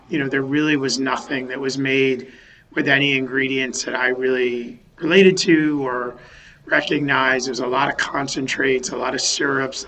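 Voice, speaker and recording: A man from Western Massachusetts, a company founder, talking over a video call in a thoughtful, halting way with many restarts. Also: mid-range voice, sharp tone, low-quality recording. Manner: thoughtful, restarts sentences, many um and you know. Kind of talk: remote podcast interview. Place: home or office in a city.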